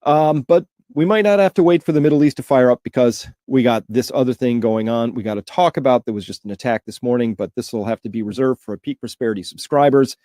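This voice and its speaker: A man's voice: mid-range voice, quick pace, few pauses, warm tone, no echo, clean studio sound. A man giving informal, opinionated news commentary as a host, emphatic and alarmed, repeating words for emphasis.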